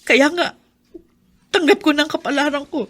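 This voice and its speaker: A woman's voice: high-pitched, moderate pace, a bit bright. An actress playing a middle-aged Filipino mother who is losing her sight, resigned and self-sacrificing, in radio drama style. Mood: resigned, sad.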